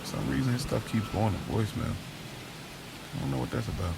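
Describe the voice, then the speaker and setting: An American man narrating an audio drama in the first person, in a gravelly voice and casual, slangy street vernacular. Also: on the low side, quick pace, noisy background. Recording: music bed under the voice. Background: music.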